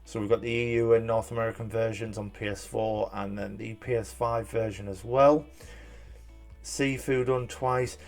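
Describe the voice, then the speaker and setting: A man's voice: lowish voice, fairly even intonation, moderate pace, creaky, soft background music. Chatty man reviewing trophies. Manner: chatty, rambling, frequent fillers and restarts. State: slightly hoarse. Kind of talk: casual commentary.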